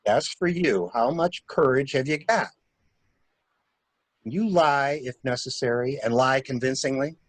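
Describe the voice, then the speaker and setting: A man's voice: mid-range voice, a little slow, no echo, lightly acted. A man playing a cool, insistent stranger in an amateur dramatic reading of a murder mystery. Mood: urgent, insistent. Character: cool, insistent male stranger scheming to shield a suspect. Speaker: library staff reader.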